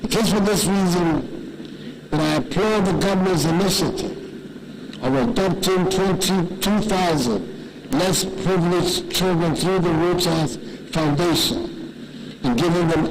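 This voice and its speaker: A man giving a formal, measured speech at a ceremony, solemnly quoting scripture. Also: high voice, background noise. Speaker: guest speaker at a ceremony.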